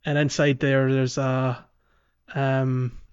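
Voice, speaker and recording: A man co-hosting a casual gaming podcast, explaining in a halting way. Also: medium pitch, little pitch movement, clear, resonant tone, muffled recording. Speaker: podcast co-host. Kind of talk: casual chat about a video game playthrough.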